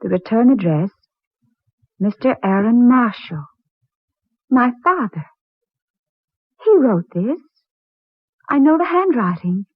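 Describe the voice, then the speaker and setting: An American actress playing an earnest, wondering woman in a 1940s radio drama, speaking in a measured, dramatic way. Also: fairly high pitch, lively intonation, slightly rough, no echo, exaggerated voice. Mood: astonished. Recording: tinny vintage radio recording.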